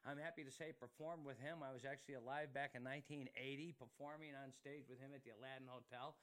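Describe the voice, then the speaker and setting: A man's voice: mid-range voice, fairly even intonation, fast, a bit bright. A man hosting a talk show in a casual, chatty way, often exclaiming and repeating himself as he interviews and plugs sponsors.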